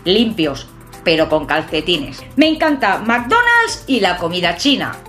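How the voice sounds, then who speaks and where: Lively, talkative woman in her fifties. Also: lowish voice, unhurried, faint music behind. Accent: southern Spanish-sounding, from an Extremaduran father. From Catalonia, Spain. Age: 50s. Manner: chatty, expressive, jokey, lively hand gestures. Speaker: urban exploration vlogger. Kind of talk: personal talk to camera. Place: small home room with shelves and a computer.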